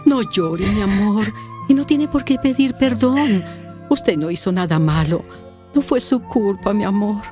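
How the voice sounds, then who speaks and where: A woman's voice: medium pitch, lively intonation, unhurried, dark tone, soft background music, lightly acted. An actress with a Colombian accent playing a distressed, ashamed mother in a radio soap, plaintive and sighing. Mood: consoling. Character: distressed, ashamed working-class mother torn over her father. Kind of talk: radio soap opera acting.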